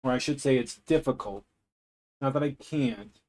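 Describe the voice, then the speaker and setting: A man speaks at a middling pitch with a somewhat deep tone. He streams from his desk at home and chats casually into a desk mic. He thinks aloud, drifting from one thought to the next without hurry. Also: darkish tone. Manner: rambling, thinking aloud, unhurried. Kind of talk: casual live-stream chat. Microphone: desk microphone.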